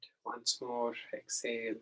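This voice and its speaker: A man with a medium-pitched voice leads a guided meditation. He explains each step simply and gently, pausing and hesitating as he goes, in the manner of a teacher instructing students. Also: monotone, rough recording.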